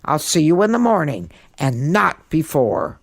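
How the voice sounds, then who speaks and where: A woman's voice: sits low, sing-song, measured pace, gravelly, no echo, studio quality, full character voice. A woman voicing a doting but insistent ghost mother in a storybook, with firm, coaxing endearments. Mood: coaxing, brisk. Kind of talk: storybook character voice.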